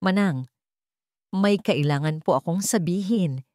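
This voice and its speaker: A woman's voice: fairly low pitch, unhurried, darkish tone, dry recording, natural voice. A woman narrator plays a young servant girl in a dramatic story. She is frightened and pleading, polite yet urgent, in a dry, close recording. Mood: anxious, hushed.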